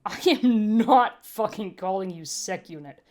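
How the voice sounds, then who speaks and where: A woman voicing a gruff, weary human medic with a blunt, emphatic, argumentative way of talking, in a story reading. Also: mid-range voice, lively intonation, measured pace, darkish tone, close to an everyday voice. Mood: annoyed.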